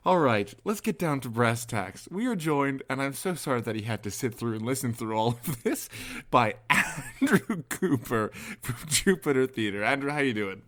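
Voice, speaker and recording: A man's voice: medium pitch, animated, normal speed, fairly bright tone. Jokey man co-hosting a podcast. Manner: jokey, mock-formal asides. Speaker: podcast co-host. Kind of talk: casual bantering chat.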